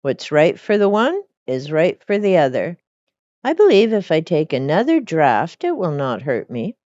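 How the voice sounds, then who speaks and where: Merry, sly cook, voiced by a woman, animated. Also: sits low, moderate pace, no echo, muffled recording. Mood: tempted, self-justifying. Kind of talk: storybook character reading.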